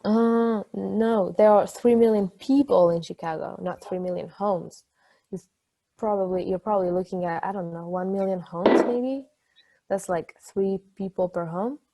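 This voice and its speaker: A woman's voice: mid-range voice, a little slow, rough recording. A woman acting an affectionate, brainy, playful partner in a casual, conversational table-read style. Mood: explaining. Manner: casual, conversational, gently teasing.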